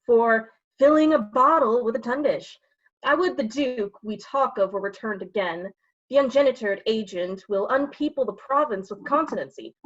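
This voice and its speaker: Muffled recording, female actor as brazen Shakespearean rogue, high-ish voice, insinuating and bawdy. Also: moderate pace, lightly acted. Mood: bawdy, jaunty. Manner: cheeky, insinuating, theatrical. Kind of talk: staged reading of Shakespeare verse and prose.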